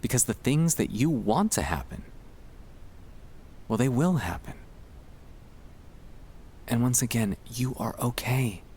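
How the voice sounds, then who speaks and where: A man narrating a sleep-aid ASMR monologue in a gentle, hushed, soothing and reassuring manner. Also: mid-range voice, lively intonation, slow pace, long pauses, slight background noise. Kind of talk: ASMR guided relaxation, scripted comfort monologue.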